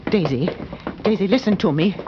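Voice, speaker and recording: A woman's voice: fairly low pitch, animated, brisk, close to an everyday voice. An actress playing an anxious, deferential landlady in a 1940s radio drama, nervous, stammering and apologetic. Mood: anxious, urgent. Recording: muffled, noisy old 1940s radio recording with clicks.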